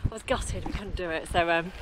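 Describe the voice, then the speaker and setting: A woman travel vlogger chatting casually and playfully in an excitable way on holiday. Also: lowish voice, brisk. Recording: loud noise, outdoor travel camera audio.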